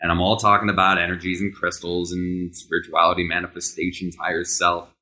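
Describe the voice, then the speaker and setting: A fairly low-pitched man in his thirties, a native Hebrew speaker, hosting his own podcast. He tells a personal story candidly, sometimes rushing ahead and sometimes stretching his words out. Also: little pitch movement, pushed and bright. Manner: candid, conversational, tempo shifts between rushed and drawn out. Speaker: podcast host.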